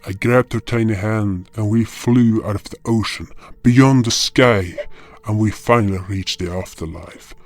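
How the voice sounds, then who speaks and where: Man as a low-voiced solemn guardian spirit. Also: lightly acted. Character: solemn, dutiful male guardian spirit telling his tale. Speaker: narrator. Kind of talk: first-person scripted fantasy narration. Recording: guitar music under the voice.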